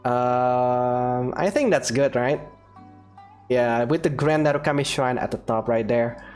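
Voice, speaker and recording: A woman's voice: a bass voice, a little slow, darkish tone, clean tone. A woman gaming creator giving casual, amused, chatty let's-play commentary, with game music behind her voice.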